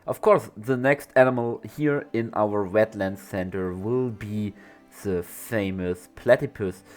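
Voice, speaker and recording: Young man, lowish voice, has a cold, rambling casual game commentary, faint music underneath. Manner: chatty and rambling, many restarts. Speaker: zoo-building game creator.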